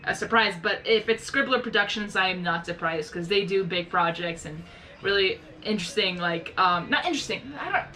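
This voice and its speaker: A woman's voice: mid-range voice, moderate pace, bright, forceful tone, poor audio. A woman hosting a live reaction video, chatting in a rambling way and often searching for the right words. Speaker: reaction channel host. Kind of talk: casual live reaction commentary.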